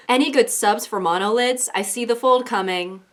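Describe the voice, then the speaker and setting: A woman's voice: a little high, a little slow. A woman hosting a casual commentary vlog, chatty and sarcastic. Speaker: YouTuber.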